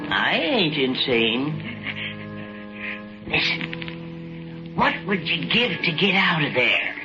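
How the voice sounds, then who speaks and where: A man's voice: a little high, very animated, very slow, exaggerated voice. An actor playing a folksy, coldly amused old country man with a rural American accent, drawling and taunting in 1940s radio style. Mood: calm, mocking. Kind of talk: 1940s radio drama acting. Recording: dull old radio recording, loud music behind.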